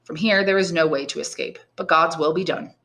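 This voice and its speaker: A woman's voice: sits low, fairly quick, fairly bright tone. An elderly, learned priest long held prisoner, acted out by a woman in a classic audiobook. The delivery is articulate and emphatic, full of rhetorical force, bitter and resigned. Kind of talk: dramatised audiobook reading of a classic novel.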